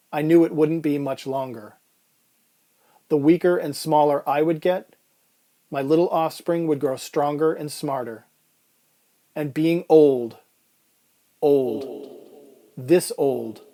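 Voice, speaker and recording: Mellow, weary dying man acted by a man. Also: on the high side, natural voice. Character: weary, resigned dying man telling his strange tale. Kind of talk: first-person dramatic monologue in old-time radio style.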